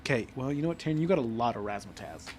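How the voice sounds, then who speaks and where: A man co-hosting a casual podcast, joking, swearing and laughing through quick banter. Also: mid-range voice, animated, darkish tone, a little hiss or hum. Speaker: podcast co-host. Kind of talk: casual chat.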